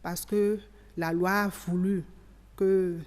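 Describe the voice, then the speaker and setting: A woman giving measured, explanatory interview answers as an expert, often rephrasing as she goes. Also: lowish voice, little pitch movement, darkish tone. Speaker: expert interviewee on local government. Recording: reverberant hall sound.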